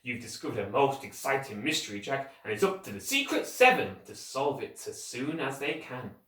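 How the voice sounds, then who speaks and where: A bossy schoolboy in charge of his gang in a children's story, voiced by a man. He sounds brisk and decisive, with lively rises and falls. The room echoes around him. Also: medium pitch. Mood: self-important. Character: bossy, self-important schoolboy leader giving orders. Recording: roomy echo, distant microphone.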